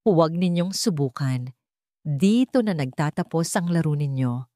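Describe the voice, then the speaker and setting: A woman's voice: low-pitched, animated, unhurried, mellow, dry recording. Woman as commanding millionaire man, Filipino accent, grave, firm, audio storybook, dry recording. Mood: cold, firm. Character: stern, commanding millionaire man, solemn and grateful.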